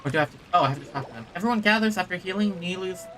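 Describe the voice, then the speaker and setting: A man streaming a video game, informally reading dialogue aloud and thinking out loud as he plays. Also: sits high, lively intonation, very fast, soft background music.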